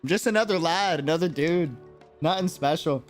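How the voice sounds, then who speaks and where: A man from New York City with an American accent, chatting casually on a game livestream in a rambling, slangy, animated way. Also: fairly high pitch, moderate pace, faint music behind. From New York City, United States. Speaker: game streamer. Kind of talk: casual livestream chat and reactions.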